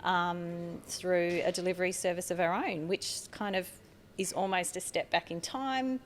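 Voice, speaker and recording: A woman's voice: on the low side, fairly even intonation, unhurried, a little hiss or hum. A middle-aged Australian woman from Tasmania, a guest on a podcast interview, speaking earnestly with frequent fillers. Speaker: marketing manager guest.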